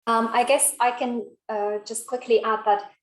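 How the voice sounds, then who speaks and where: A woman's voice: fairly high pitch, little pitch movement, moderate pace. A woman whose first language is Latvian, speaking briefly and hesitantly as a panelist. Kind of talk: academic discussion. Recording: roomy echo.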